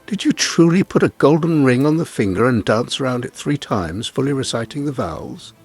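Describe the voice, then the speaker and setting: A solemn rabbi played by a male storyteller hands down judgment in formal phrases. His medium-pitched voice is expressive, with music beneath the tale. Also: moderate pace, slightly rough. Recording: music bed behind the voice.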